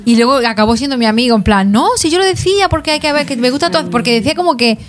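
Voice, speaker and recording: A woman's voice: high-pitched, lively intonation. A woman from Granada with an Andalusian accent, hosting a casual radio interview in a chatty, agreeable way. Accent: Andalusian Spanish. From Granada, Spain. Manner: chatty, agreeable, quick back-and-forth. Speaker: radio host.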